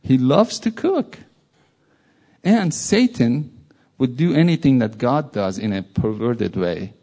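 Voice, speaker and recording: A man's voice: medium pitch, animated, slow pace, warm tone. A man from Iran with a Persian accent, giving an informal sermon with jokey asides. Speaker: preacher.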